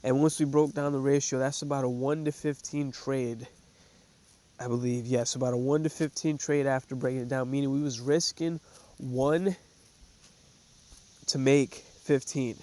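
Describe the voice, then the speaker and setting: A man's voice: mid-range voice, fairly even intonation, moderate pace, warm tone. A man hosting a trading show, a little stuffy from a cold, talking loosely with many fillers and restarts. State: stuffy, congested. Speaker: podcast host. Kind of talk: casual trading commentary.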